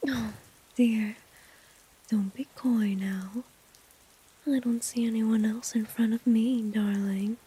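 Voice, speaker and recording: Breathy whispering flirty villainess played by a woman. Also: a little high, fairly even intonation, mellow, full character voice, poor audio. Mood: teasing, intrigued. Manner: slow, coy, mocking, drawn-out teasing. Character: flirty, dominant female villain, teasing and condescending. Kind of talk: whispered ASMR roleplay.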